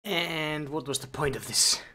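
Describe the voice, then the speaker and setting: A man streaming a game, hoarse from losing his voice, musing aloud in a puzzled, casual way. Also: fairly high pitch, a little slow, pushed and bright. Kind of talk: casual live stream commentary.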